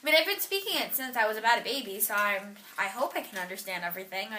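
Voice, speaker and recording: A woman's voice: mid-range voice, lively intonation, fast talker, bright, forceful tone, a little hiss or hum. A teenage girl answering interview questions casually, with lots of fillers, trailing off at the ends of her thoughts. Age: teens. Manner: chatty, filler-heavy, trailing off.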